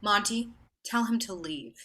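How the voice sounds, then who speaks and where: A woman's voice: medium pitch, lively intonation, measured pace, bright, forceful tone. An actress playing a worried girlfriend who speaks curtly and insistently in a staged play reading. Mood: tense. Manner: curt, insistent, pointed. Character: worried young woman, sharp-tongued girlfriend.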